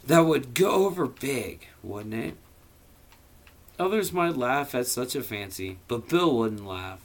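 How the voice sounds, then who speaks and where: A man's voice: medium pitch, lively intonation, slow. A man reading a story aloud informally, with a theatrical, irreverent manner and profane casual asides. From US Midwest. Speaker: amateur audiobook reader.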